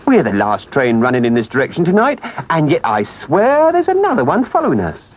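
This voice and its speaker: A man's voice: on the high side, huge pitch swings, brisk. A man voicing a stern but kindly engine driver in a storybook, earnest and plain-spoken. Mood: puzzled, alarmed.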